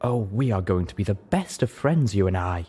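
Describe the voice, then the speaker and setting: A man's voice: fairly low pitch, expressive, normal speed, husky. Man voicing a motherly, insistent pony mare medic. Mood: eager. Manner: gentle but insistent.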